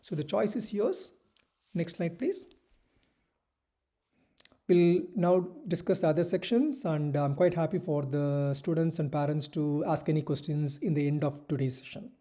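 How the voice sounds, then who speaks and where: A doctor tutoring students for medical school entrance exams, explaining a course in a measured online presentation with hesitant restarts. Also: mid-range voice, warm tone.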